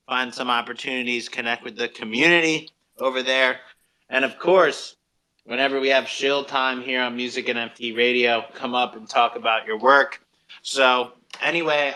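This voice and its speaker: A man hosts a live online music podcast. His voice is bright and sharp, sitting at a medium pitch with little melodic swing. He speaks as a presenter running an interview. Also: rough recording. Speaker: podcast host.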